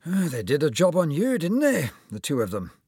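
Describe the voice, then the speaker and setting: A man's voice: a little high, huge pitch swings, moderate pace, a little mellow, slightly rough, no room sound, clean studio sound, voice pushed up, heavily acted. A man voicing a kindly, encouraging older male surgeon.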